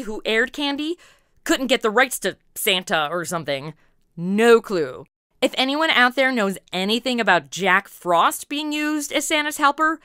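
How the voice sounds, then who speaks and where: A woman's voice: fairly high pitch, bright, forceful tone, clean tone, no echo, clean studio sound. An American woman with a perky, chatty, slangy manner, hosting a scripted, playful podcast interview. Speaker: podcast host.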